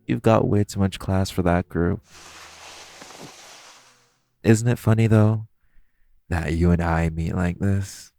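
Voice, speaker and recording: A man's voice: low-pitched, fairly even intonation, slow, vocal fry, pauses often, mellow, soft background music, heavily acted. A man playing a flirty, teasing supervillain thief in an audio roleplay, coy and theatrical, drawing out his phrases as he talks to the listener. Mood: smooth, probing.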